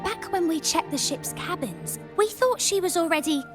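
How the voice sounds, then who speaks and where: Very high, animated young crewmate girl. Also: moderate pace. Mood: shocked, flustered. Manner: expressive, informal, chummy. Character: lively, friendly young female crewmate, warm-hearted and informal. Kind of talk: English video game voice acting. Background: music.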